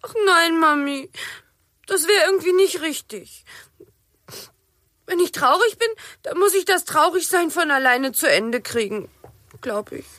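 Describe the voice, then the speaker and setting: Very high, downcast little witch girl. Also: lively intonation, measured pace, long pauses, fairly bright tone, no room sound, clean studio sound, full character voice. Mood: sad, earnest. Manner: downcast, earnest. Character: sad little witch girl, earnest and brave. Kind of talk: German children's audio drama acting.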